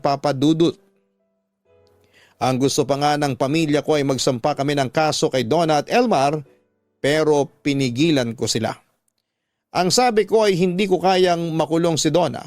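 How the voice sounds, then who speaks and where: A man's voice: on the high side, a little mellow, dry recording. A man with a Filipino accent telling a dramatic first-person story as a scripted radio drama narrator. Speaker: radio drama narrator reading a listener's letter. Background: music.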